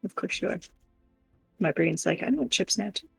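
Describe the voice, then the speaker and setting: A woman with a gravelly, husky voice chats casually on a livestream. She rambles and giggles as she goes, over faint background music. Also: medium pitch, expressive, moderate pace, no echo, low-quality recording. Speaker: live stream co-host. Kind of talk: casual livestream chat while crocheting.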